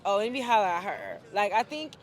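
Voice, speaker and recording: A woman's voice: mid-range voice, huge pitch swings, brisk. A young woman from France, an outspoken and candid guest answering questions in a casual street interview outdoors on a city street.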